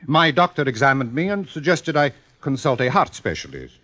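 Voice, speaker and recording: An old fencing maestro in a vintage radio play. His bright, forceful voice swings widely as he presses his point, impatient and emphatic, repeating words. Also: medium pitch, big pitch swings, fairly quick. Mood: halting, confiding. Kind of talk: 1950s radio drama acting.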